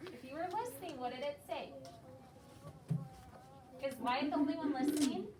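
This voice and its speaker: A high-pitched American woman teaches a class of children with simple explanations and questions. She sounds distant from the microphone in an echoey room, with noise around her. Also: moderate pace. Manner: simple explanations with prompting questions and brief praise. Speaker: elementary school teacher.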